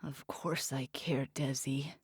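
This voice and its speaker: A woman voicing a conflicted young male demon who speaks low and emptily. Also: fairly even intonation, takes it slowly, husky, no echo, studio quality, heavily acted. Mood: resigned. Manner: low, empty, subdued delivery.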